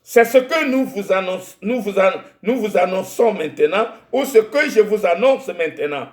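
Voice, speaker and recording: A man from Togo, whose first language is Ewe, preaching a sermon in French with a Togolese accent, slow and halting, repeating words as he searches for them. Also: high voice, lively intonation, echoey room. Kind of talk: sermon with Bible reading.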